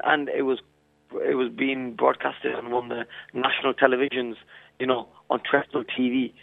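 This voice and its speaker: A man in his twenties, a professional boxer, answering interview questions over the phone in a halting way, often saying "you know" and "I mean.". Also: mid-range voice, vocal fry, no room sound. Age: 20s. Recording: tinny dry phone line.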